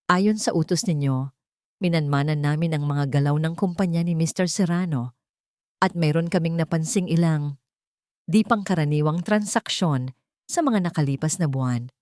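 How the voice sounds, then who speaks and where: A woman with a Filipino accent voicing a respectful, careful lawyer in his fifties in an audio love story, deferential and measured. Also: low-pitched, a little mellow, no echo, clean studio sound, lightly acted.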